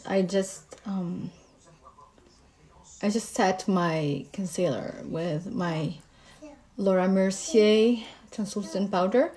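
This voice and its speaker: A woman's voice: on the low side, a little mellow, rough recording. A woman with a Moroccan accent, a makeup artist casually explaining each step of her makeup routine.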